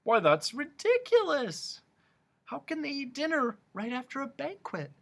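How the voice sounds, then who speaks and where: A man voicing a polite, puzzled young boy who speaks softly. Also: extremely high pitch, wildly swooping pitch, a little slow, vocal fry, pitched above the performer's own voice, exaggerated voice. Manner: soft, polite.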